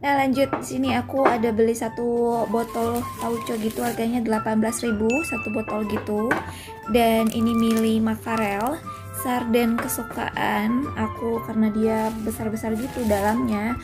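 A woman vlogger chatting casually through a grocery haul, often pausing to recall prices. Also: fairly high pitch, little pitch movement. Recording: voice-over with clear background music.

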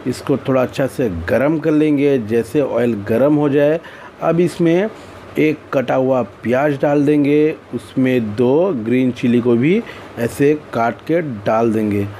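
An Indian-accented man hosts a step-by-step cooking tutorial in a soft, mellow tone. His delivery is plain and instructional, circling back to the same phrases. Noticeable background noise sits behind his voice. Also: medium pitch, a little slow. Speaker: cooking channel host. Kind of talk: recipe tutorial narration.

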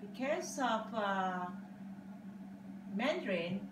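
Older Cantonese-native woman, halting English, recorded from across an echoey dining room with heavy hiss. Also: medium pitch, animated. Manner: slow, halting non-native English with simple grammar. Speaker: interviewee. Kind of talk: casual interview answers. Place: dining room at home, indoors. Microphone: far camera across the room. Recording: echoey room, distant mic, loud background hiss.

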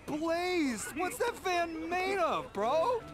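An actor playing an excitable, amazed stoner bystander in a game cutscene. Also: male voice, extremely high pitch, extremely slow, full character voice. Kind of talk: video game cutscene acting.

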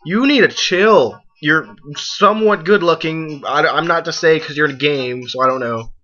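A young man giving casual, excitable let's play commentary, exclaiming and talking to the game and himself. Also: on the high side, moderate pace. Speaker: gaming video host. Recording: muffled lo-fi mic, game music behind. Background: video game music.

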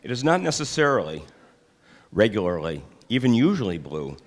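An older man reading his own essay aloud to an audience in a large hall, deliberate and measured, with occasional hesitant asides. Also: fairly low pitch, sing-song. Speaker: author giving a reading. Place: large hall with high ceiling, seated before a projection screen.

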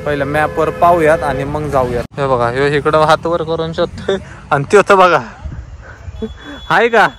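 Young male vlogger, chatty and joking, slightly high voice, outdoors with loud traffic around him. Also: moderate pace. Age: 20s. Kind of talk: casual travel vlog. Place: outdoors on a mountain road. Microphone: handheld camera at arm's length.

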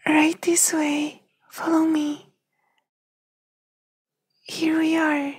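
Man acting as loyal household servant, ASMR roleplay, half-whispered, even tone, slow, formal, deferential. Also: very high-pitched, heavily acted. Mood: deferential, discreet. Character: polite, discreet, loyal household servant of a young master.

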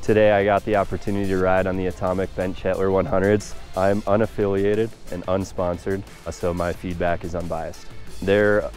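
A man's voice: deep voice, little pitch movement, normal speed, darkish tone. A young man giving a laid-back, conversational product review to camera as a ski reviewer, outdoors. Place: outdoors at a snowy ski slope. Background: music.

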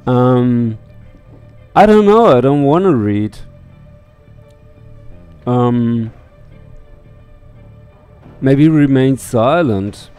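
A man's voice: medium pitch, animated, extremely slow, long pauses, warm tone, clean tone. A middle-aged man giving casual, musing game commentary on a headset mic, reading text aloud as he goes. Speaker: let's play streamer. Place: indoor room. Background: faint game music.

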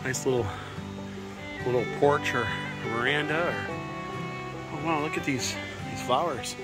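A man's voice: medium pitch, unhurried, loud music behind the voice, poor audio. A middle-aged American man giving an easygoing, casual walkthrough narration as a travel vlogger, with short musing asides. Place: outdoors at a mountain garden and in narrow rock crevices. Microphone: phone held at arm's length or in hand.

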